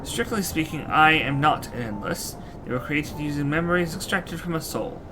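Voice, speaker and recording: A man voicing a courteous knight's soul housed in a machine, reading video game dialogue in a formal, measured manner. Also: on the high side, lively intonation, close to an everyday voice, low-quality recording. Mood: formal, reflective. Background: game music.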